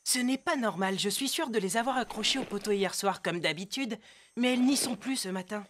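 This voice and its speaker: A woman's voice: medium pitch, brisk, fairly bright tone, slight background noise, close to an everyday voice. A woman playing a level-headed, questioning teenage girl in a French cartoon dub. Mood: concerned.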